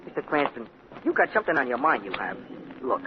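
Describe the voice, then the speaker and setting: An actor playing a chatty, eager and loyal male cab driver who talks quick and sing-song, heard on a tinny, hissy 1940 radio broadcast. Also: mid-range voice, big pitch swings, natural voice.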